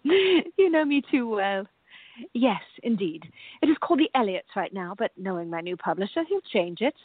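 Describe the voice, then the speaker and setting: Woman as genteel lady novelist, husky and lively. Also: mid-range voice, moderate pace, no echo. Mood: warm, polite. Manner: formal, period diction. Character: Jane Austen, genteel woman novelist, tender and composed. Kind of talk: radio play acting. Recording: dull lo-fi, music behind.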